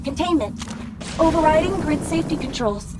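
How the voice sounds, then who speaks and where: High-pitched female facility computer. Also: expressive, moderate pace, noisy background. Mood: impersonal alert. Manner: clipped, impersonal, formal. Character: female facility security computer, cold and procedural. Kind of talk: automated alert announcements. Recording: loud music behind. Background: game music.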